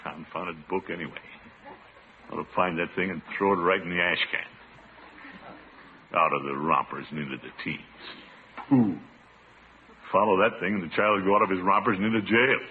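A man's voice: low voice, moderate pace, pauses often, close to an everyday voice. Man playing a blustery uncle on old, muffled radio. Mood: grumbling. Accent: American. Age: middle-aged. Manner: blustering, theatrical, indulgent. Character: blustery, soft-hearted middle-aged uncle, pompous and exasperated. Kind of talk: classic radio comedy acting. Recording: muffled, noisy vintage radio recording.

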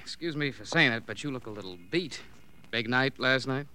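An actor playing a wry, needling police colleague, talking breezily in an old radio crime drama. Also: male voice, mid-range voice, fairly quick, noisy background, lightly acted. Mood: teasing. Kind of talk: 1940s-50s radio drama acting.

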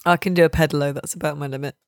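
A woman's voice: sits low, fairly even intonation, racing, no echo, clean studio sound. A British woman hosting a podcast in a casual, chatty way, with a jokey, teasing manner. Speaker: podcast host and games journalist.

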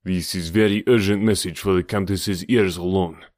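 A man voicing a suave, scheming villain who gloats in a deliberate, theatrical way in an audiobook. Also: low-pitched, steady tone, a little mellow, dry recording, clean studio sound, close to an everyday voice. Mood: commanding. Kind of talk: audiobook character dialogue.

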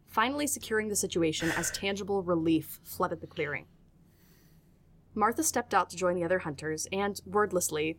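A woman narrating a scripted audio drama in a measured, literary storytelling manner. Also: lowish voice, a bit bright.

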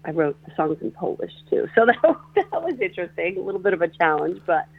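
Gravelly guest on a tinny phone line. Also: medium pitch, big pitch swings. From northeastern Pennsylvania. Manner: halting, thinks aloud with many restarts. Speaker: interview guest, composer and performer. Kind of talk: radio interview talk.

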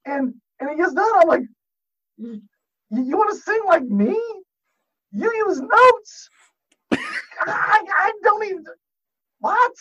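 A man's voice: very high voice, wildly swooping pitch, takes it slowly, long pauses, sharp tone, low-quality recording. A man on a podcast as a guest, telling rambling, profane stories and laughing at his own jokes. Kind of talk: casual podcast chat, storytelling.

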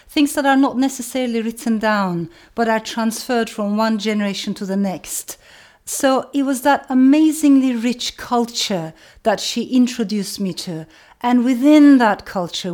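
Middle-aged woman writer, turkish is her first language, slow earnest talk, repeats herself for emphasis. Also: mid-range voice, a little mellow. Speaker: novelist. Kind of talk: reflective talk to camera.

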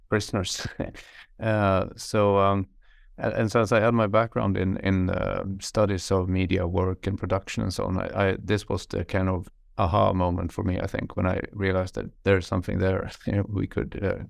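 A man with a Swedish accent, an academic guest answering interview questions in a casual, halting, informal way. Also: low-pitched, creaky, dry recording, clean studio sound. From Sweden, countryside.

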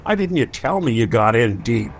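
An actor playing a gruff, loyal detective in a radio drama, speaking urgently and with emphasis. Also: male voice, medium pitch, big pitch swings, normal speed, faint music behind. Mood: desperate concern.

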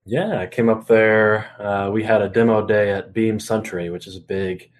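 A man's voice: fairly low pitch, steady tone, normal speed. A young man co-hosting a podcast in casual conversation. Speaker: podcast co-host.

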